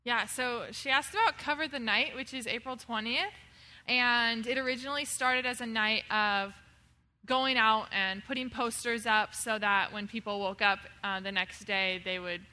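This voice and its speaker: A woman's voice: a little high, bright, forceful tone. A young woman hosting an audience question session, upbeat and chatty with lots of fillers. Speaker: event host.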